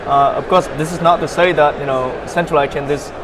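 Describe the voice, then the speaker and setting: A young man from Vietnam, a startup founder, answering interview questions in a halting way with many fillers and repeated words. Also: a little high, steady tone, background noise.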